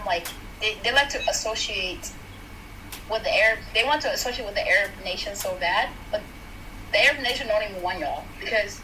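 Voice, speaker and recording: Brief: young woman from South Sudan, bright and forceful voice, chatty debate style, faint hiss behind. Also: a little high, low-quality recording. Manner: animated, talks through points with many "like" and "right?". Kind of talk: casual chat, debate.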